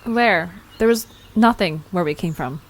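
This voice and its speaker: A woman's voice: lowish voice, measured pace. A woman playing an eager, inquisitive young adventurer in an audio drama. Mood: hopeful. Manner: eager, questioning. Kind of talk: scripted audio drama acting.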